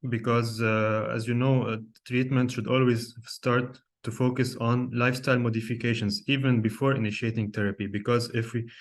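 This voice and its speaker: A young man from Lebanon, a cardiologist, giving a live online lecture over a video call, hesitant with many fillers and restarts and questions to his audience. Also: on the low side. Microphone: computer mic on a video call.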